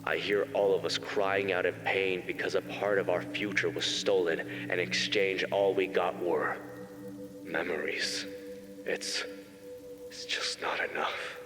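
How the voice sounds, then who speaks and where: Guy acting sad son at a funeral, low flat slow voice, big hall reverb. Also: music in the background. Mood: somber grief. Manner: halting, solemn. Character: grieving son giving a eulogy, somber, haunted. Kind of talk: comic dub monologue.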